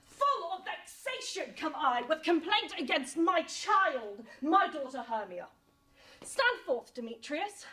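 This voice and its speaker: A woman's voice: high-pitched, sing-song, pushed and bright, full character voice. A woman performing a Shakespearean monologue as a vexed father accusing and demanding obedience in declamatory style. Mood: indignant. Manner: declamatory, accusing, drawn-out delivery.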